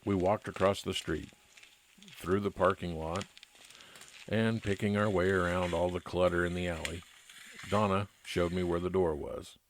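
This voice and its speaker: A man's voice: sits low, steady tone, moderate pace, a little hiss or hum, lightly acted. A man playing a private detective who narrates his own case matter-of-factly in an old-time radio drama style.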